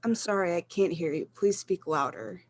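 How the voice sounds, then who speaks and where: An actress playing an unruffled telephone operator in a radio drama, formulaic and politely detached. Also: female voice, on the low side, moderate pace, lightly acted. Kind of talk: 1940s radio drama acting.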